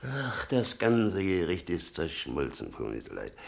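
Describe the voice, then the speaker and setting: An actor playing a bitter, sarcastic old father in a German radio play, rambling and muttering with a gravelly voice. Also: sits low, wildly swooping pitch, unhurried, heavily acted. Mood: bitter, brooding. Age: older. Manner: rambling, sardonic, muttering and flaring up.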